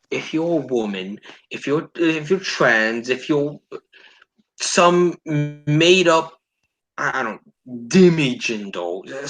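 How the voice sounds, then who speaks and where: A man's voice: a little high, fairly bright tone, low-quality recording. A man giving blunt opinions in a casual discussion, repeating his points for emphasis. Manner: blunt, sweary, emphatic repetition.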